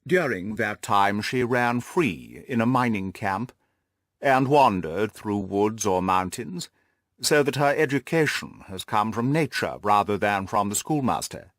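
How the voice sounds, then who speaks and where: A man playing a haughty, reserved aristocratic lord with a pompous, measured way of talking in an audiobook. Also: fairly low pitch, animated, a bit bright, dry recording, clean studio sound. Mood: proud, deliberate. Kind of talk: audiobook character dialogue.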